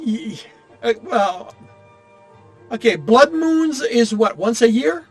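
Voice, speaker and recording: A man chatting casually while playing a game, explaining his thoughts in a halting, rambling way. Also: very high-pitched, lively intonation. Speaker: game streamer. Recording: game music faintly behind the voice. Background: faint video game music.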